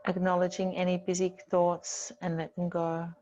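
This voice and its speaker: A woman teaching yoga, giving gentle, soothing, unhurried guided instruction with pauses between phrases. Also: fairly low pitch, monotone, lo-fi sound, music in the background.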